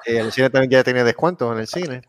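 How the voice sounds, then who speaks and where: A man's voice: medium pitch, fast talker, crowd noise. A Puerto Rican man hosting a casual chat, teasing and joking with the guest. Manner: teasing, jokey.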